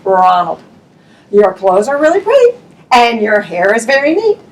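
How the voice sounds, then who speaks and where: A woman voicing a clever young princess who flatters eagerly. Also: a little high, moderate pace, recorded from a distance, slight background noise, poor audio. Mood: flattering.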